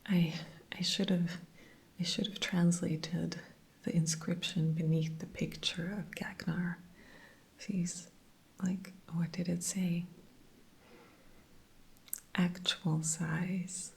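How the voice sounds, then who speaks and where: Slow, husky whispering woman. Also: fairly low pitch, level tone. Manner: soft unvoiced whisper throughout, chatty with fillers. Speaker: TV episode reviewer. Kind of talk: whispered ASMR episode recap.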